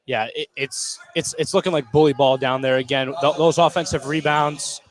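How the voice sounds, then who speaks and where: A man's voice: fairly high pitch, steady tone, moderate pace, poor audio. A man working as colour commentator on a basketball broadcast, speaking in a casual, conversational style. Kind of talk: casual live sports commentary. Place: indoor basketball gym.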